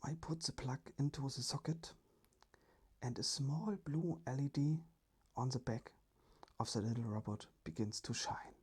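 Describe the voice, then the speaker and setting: Slow, breathy whispering storyteller. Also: medium pitch. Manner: hushed, careful, deliberate reading.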